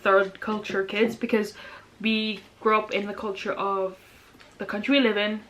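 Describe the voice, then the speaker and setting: A woman's voice: mid-range voice, little pitch movement, moderate pace, fairly bright tone, low-quality recording. A young woman chatting casually while eating, rambling with lots of "um" and "like" fillers. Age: young adult. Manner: rambling, many "um", "like", "kind of" fillers. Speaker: vlogger, older sister.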